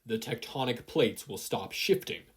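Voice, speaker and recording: A podfic reader voicing an eager, excitable teenage boy who is training to be a hero. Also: medium pitch, a little slow, slightly rough. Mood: commanding, urgent.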